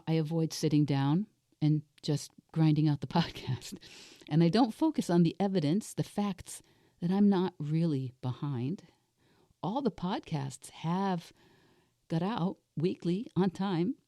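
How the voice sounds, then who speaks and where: A woman in her sixties with a raspy voice, slightly under the weather, coaching herself aloud on a solo podcast in a deliberate, reflective way. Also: low voice, dark tone, no echo, clean studio sound. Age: 60s. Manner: deliberate, reflective, asks and answers own questions. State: unwell with a cold-like illness. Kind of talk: self-coaching monologue, thinking aloud.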